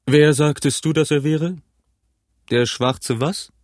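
A man voicing a big, shrewd, jovial tavern keeper in an audiobook, hearty, loud and commanding. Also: fairly high pitch, slow pace, no room sound, clean studio sound, voice pushed up. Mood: probing, stern. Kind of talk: acted audiobook character.

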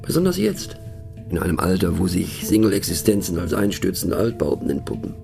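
Casting: male narrator for satirical audio drama. Deepish, creaky, rough-edged voice; pensive, mocking, conversational. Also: huge pitch swings, music in the background. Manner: wry, pensive, mocking, halting emphatic delivery. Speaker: narrator of a satirical radio play. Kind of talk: scripted monologue performed as musing conversational talk.

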